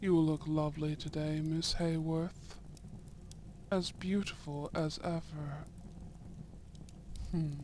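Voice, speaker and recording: A man playing a young, courteous and shrewd Edwardian viscount in a romantic audio roleplay, with formal, deliberate phrasing and soft murmurs. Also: on the high side, level tone, a little hiss or hum. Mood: courteous, assured.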